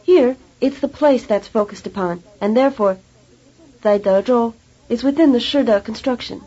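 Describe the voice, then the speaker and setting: A woman narrating a language course tape in a measured, teacherly way. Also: on the high side, dark tone. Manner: measured, clear teacherly delivery. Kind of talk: scripted instructional narration. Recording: dull lo-fi tape recording.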